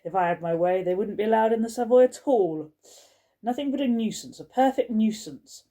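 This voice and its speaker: A woman's voice: fairly low pitch, lively intonation, warm tone. A woman playing a pompous, fuming housekeeper. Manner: indignant, drawn-out scolding. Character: pompous, furious older woman hotel housekeeper.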